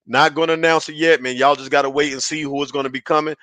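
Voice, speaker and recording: Hoarse, raspy man hosting a podcast. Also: fairly high pitch, steady tone, fast, fairly bright tone, no room sound. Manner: hyped, slangy, repeats "man" often. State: hoarse from yelling at practice. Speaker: podcast host. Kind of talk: casual sports podcast talk. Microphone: headset.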